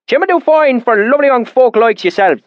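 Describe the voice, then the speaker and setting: A man voicing a lean, weathered swineherd in the countryside, using a thick rustic dialect in a high, loud, drawling voice. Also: lively intonation, brisk, no echo, narrow, tinny audio. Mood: friendly. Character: lean weathered rural male swineherd, suspicious then friendly.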